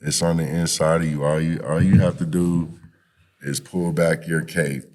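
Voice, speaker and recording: A man's voice: very deep voice, flat delivery, normal speed, a little mellow. A man speaking as a podcast guest and youth activist in a conversational, motivational way, encouraging and often saying "you know".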